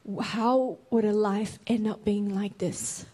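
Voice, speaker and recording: A woman interpreting a sermon line by line, speaking in a careful, halting way and correcting herself as she goes. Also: medium pitch, fairly even intonation, dark tone, husky.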